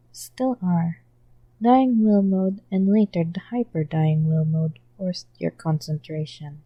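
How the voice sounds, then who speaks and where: A woman's voice: lowish voice, dark tone, no room sound, rough recording. A woman reading a podfic, voicing a smug adult male hitman who drawls at his former student.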